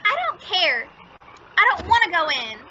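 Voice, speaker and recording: Very high, whiny little girl. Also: sing-song. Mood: whiny, scared. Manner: whiny, drawn-out protesting. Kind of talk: old-time radio family comedy acting. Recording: dull, noisy lo-fi radio play recording.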